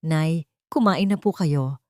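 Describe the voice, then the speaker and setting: Woman as a soft, pitying housemaid. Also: low voice, no room sound, studio quality. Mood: gentle pity. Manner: soft, respectful, slow.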